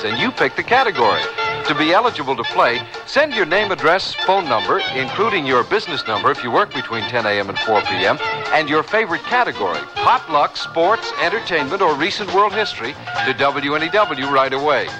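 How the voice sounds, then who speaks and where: A man's voice: a little high, rough recording. A man reading a scripted radio station promotion as announcer, in upbeat sales patter. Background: music.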